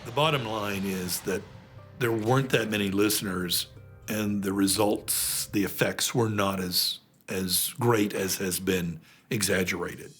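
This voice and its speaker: A man's voice: fairly low pitch, steady tone, unhurried, a bit bright, faint music behind. A man giving explanatory commentary as a documentary interviewee.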